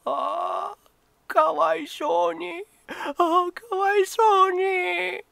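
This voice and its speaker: A man voicing a gentle, tender-hearted old man in a storybook tale, plaintive and emotional in his delivery. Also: very high voice, fairly even intonation, gravelly, no room sound, pitched above the performer's own voice, full character voice. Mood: sorrowful, tearful. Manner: plaintive, emotional, drawn-out pleading.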